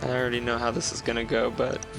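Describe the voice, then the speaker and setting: A man's voice: mid-range voice, little pitch movement, quick pace, gravelly, music in the background. A man bantering casually with friends as a player in a tabletop game.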